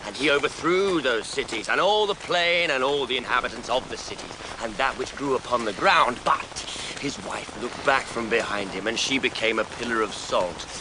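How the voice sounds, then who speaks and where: An actor playing a sombre, fanatical religious doomsayer who speaks in terse, preaching phrases in a radio drama. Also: male voice, medium pitch, normal speed, few pauses, noisy background, close to an everyday voice. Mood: grim preaching. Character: grim fanatical male doomsaying religious zealot.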